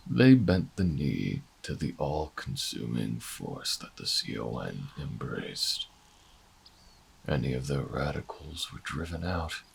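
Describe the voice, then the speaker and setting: A man playing a bitter, defiant older human revolutionary in a sci-fi audio drama, speaking in a gravelly, husky voice with theatrical, brooding delivery. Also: a bass voice, heavily acted. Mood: defiant, seething. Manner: dramatic, brooding, halting monologue. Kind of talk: audio roleplay drama acting.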